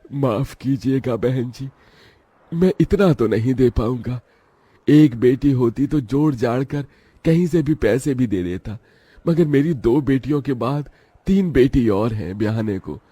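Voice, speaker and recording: A man's voice: mid-range voice, lively intonation, a little slow, warm tone, breathy edge, no room sound. A man voicing a poor, pleading village father. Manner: pleading, earnest. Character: poor, anxious, devoted middle-aged village father. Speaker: father of five daughters. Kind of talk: dubbed cartoon drama.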